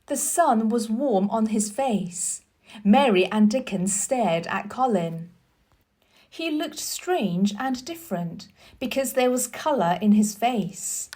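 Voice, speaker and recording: A woman storyteller reading a children's book aloud in a deliberate, expressive teacher's manner. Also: on the high side. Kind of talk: scripted storybook narration.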